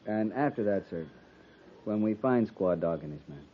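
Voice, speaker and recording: A man's voice: lowish voice, lively intonation, normal speed, natural voice. A man playing an earnest, respectful young cavalry lieutenant in a 1950s radio drama, formal and deferential in his address. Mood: earnest, subdued. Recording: muffled, noisy 1950s radio recording.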